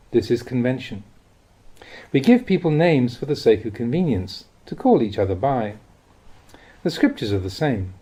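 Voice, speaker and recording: A man reading spiritual teachings as scripted narration, in a measured, instructive way with deliberate phrasing. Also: mid-range voice, mellow, breathy edge. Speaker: reader of Buddhist teachings.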